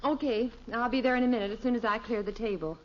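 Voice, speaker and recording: Woman acting as a patient radio sitcom mom, dry and knowing, slightly high voice, old dull recording. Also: fast talker, slight background noise, close to an everyday voice. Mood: practical. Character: patient, wry middle-aged suburban housewife mother. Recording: muffled vintage radio recording.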